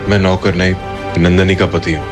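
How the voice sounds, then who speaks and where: A man plays a poor, meek young husband in an audio drama. His voice is fairly low, earnest and hesitant, plainly spoken, with music clearly underneath. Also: flat delivery, moderate pace, close to an everyday voice. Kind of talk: Hindi audio drama acting. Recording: music behind the voice.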